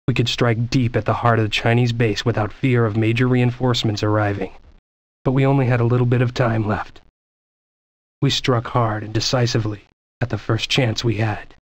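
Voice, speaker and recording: A man playing a grave American soldier narrating a war campaign in a solemn, measured, scripted style for a video game. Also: mid-range voice, flat delivery, dry recording, natural voice. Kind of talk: scripted dramatic cutscene narration.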